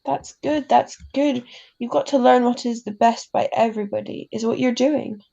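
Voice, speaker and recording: A woman playing an ailing, gentle, wise mother in a radio drama, speaking tenderly and haltingly. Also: fairly high pitch, vocal fry, lo-fi sound. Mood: tender concern. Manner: tender, halting, philosophical, tags sentences with huh. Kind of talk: classic radio drama acting.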